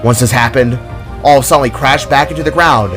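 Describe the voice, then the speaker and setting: Male author-narrator, mid-pitched, dramatic scripted storytelling, clear music bed underneath. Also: fairly quick.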